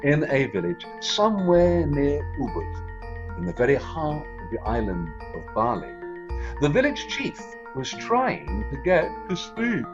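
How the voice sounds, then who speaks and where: A man's voice: a little high, wildly swooping pitch, unhurried, dull audio, music in the background. A man, a storyteller introducing and narrating a folk tale. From Singapore.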